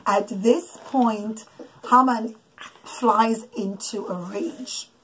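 A middle-aged woman, a mother telling her children a bedtime story in an expressive, emphatic, instructive way, in a bedroom. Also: a little high, drawn-out words, rough recording. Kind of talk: animated storytelling with asides to children. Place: small children's bedroom, indoors. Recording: clicky home recording with background noise.

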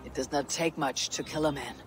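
An actress playing a stern young female Viking warrior in a video game cutscene, curt, guarded and measured. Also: deep voice, a little hiss or hum, close to an everyday voice.

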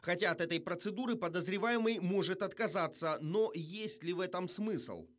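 A man narrates a television news report in a fairly high voice. His delivery is scripted and polished, with a wry, ironic edge. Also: moderate pace, heavy background noise.

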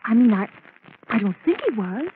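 A grieving society lady of old radio drama, polite and a little hesitant. Her high voice comes through a thin, clearly noisy recording. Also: sing-song, moderate pace, narrow, tinny audio. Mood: subdued, uneasy. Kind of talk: 1930s radio drama acting.

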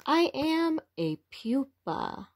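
A cheerful little storybook caterpillar as read by a woman. The delivery is slow and very expressive, with lively swings in pitch and simple wording for small children. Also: creaky, heavily acted. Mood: slow, wondering. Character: cheerful young caterpillar becoming a butterfly, storybook narrator.

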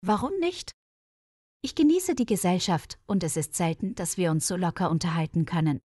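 A woman voicing a friendly, sociable female nurse in a storybook, with earnest, clear delivery. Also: fairly low pitch, animated, moderate pace, mellow, dry recording, studio quality. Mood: warm, sociable. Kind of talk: storybook dialogue reading.

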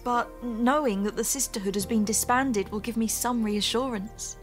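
A woman's voice: fairly high pitch, moderate pace, soft background music, close to an everyday voice. An actress playing a wounded, resolute, self-reliant young woman in an audio drama.

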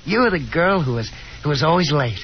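Lively, boyish young gentleman caller. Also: a little high, fairly quick, soft background music. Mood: friendly, encouraging. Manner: folksy, boyish, exclamatory. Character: friendly, confident young man, encouraging gentleman caller. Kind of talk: 1950s radio drama acting. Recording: muffled 1950s radio broadcast, hissy noise.

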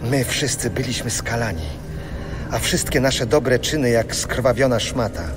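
An actor playing a solemn prophet pleading with God in a dramatized audio Bible. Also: male voice, mid-range voice, a little slow, noisy background, lightly acted. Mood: fervent pleading. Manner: solemn, beseeching delivery. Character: pleading prophet lamenting his people's sins to God. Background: music.

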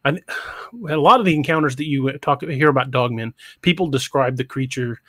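A man's voice: mid-range voice, normal speed, sharp tone, no echo, studio quality. Stammering man hosting a podcast. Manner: stammering restarts, chatty and joking. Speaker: podcast host. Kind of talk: casual livestream chat. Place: home room.

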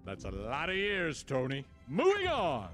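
A man playing a pompous, excitable, cowardly alien leader in an animated film, speaking in a theatrical, swooping manner. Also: fairly high pitch, a little slow, faint music behind, heavily acted. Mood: gleeful, dismissive.

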